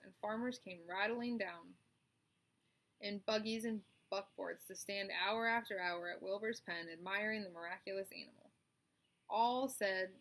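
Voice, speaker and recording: A woman's voice: mid-range voice, a bit bright, low-quality recording. Woman reading a children's storybook aloud. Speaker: storybook reader. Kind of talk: read-aloud narration for children.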